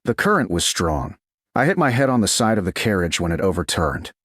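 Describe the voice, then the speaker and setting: A composed, gentle servant woman from a romance story , read by a man with a low voice. She speaks softly in measured lines with lively intonation and a very dry sound. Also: slightly rough, dry recording, heavily acted. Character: calm, gentle woman, duke's lost love turned servant.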